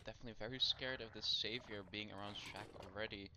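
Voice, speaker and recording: A man commentating a live esports match, analytical but breaking into excited outbursts. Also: on the low side, fast talker. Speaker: esports caster. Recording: loud noise on a stream mic.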